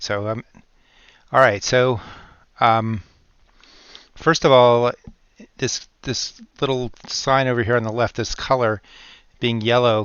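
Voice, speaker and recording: Clear-voiced man, halting tutorial delivery, dry sound. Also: fairly low pitch, a bit bright, no echo, lo-fi sound. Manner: halting, explanatory. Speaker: software tutorial presenter. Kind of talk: unscripted step-by-step screen tutorial.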